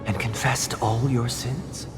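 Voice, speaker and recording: A man voicing a calm, ceremonious priestly confessor in a game, with a measured, formal manner. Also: medium pitch, close to an everyday voice. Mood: solemn, calm. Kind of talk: dramatic game voice acting. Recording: long hall reverb, loud music.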